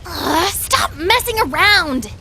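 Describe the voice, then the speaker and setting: Tiny talking cat, extremely high squeaky voice, feisty, scolding, wildly swooping, game character. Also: female voice, very animated, takes it slowly, sharp tone, faint music behind, heavily acted. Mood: indignant. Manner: squeaky, indignant. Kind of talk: video game voice acting.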